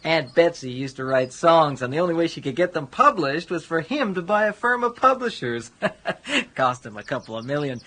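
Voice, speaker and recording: A man's voice: on the high side, lively intonation, moderate pace, bright, forceful tone. An actor playing an easygoing, good-hearted rich man with an American accent and a slangy, breezy manner in a radio comedy drama. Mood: cheerful, easygoing.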